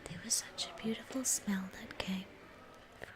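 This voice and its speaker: A woman with a New Zealand accent, whispering a gentle, soothing ASMR travel narration at an unhurried pace. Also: mid-range voice, steady tone.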